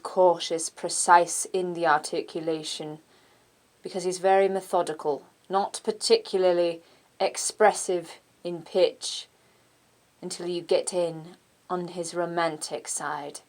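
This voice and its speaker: Woman doing a low, smooth, cautious British captain. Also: fairly even intonation, unhurried, darkish tone, natural voice. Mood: measured, cautious. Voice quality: smooth, slightly breathy. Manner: precise, unexpressive articulation. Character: methodical, cautious, romantic navy captain.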